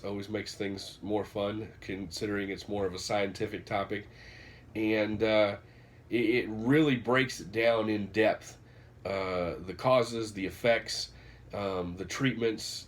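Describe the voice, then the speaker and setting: A man's voice: on the low side, slight background noise. A man, congested and nasal from a cold, casually rambling through a book recommendation in a vlog. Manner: rambling, many fillers and restarts. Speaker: vlogger reviewing a book.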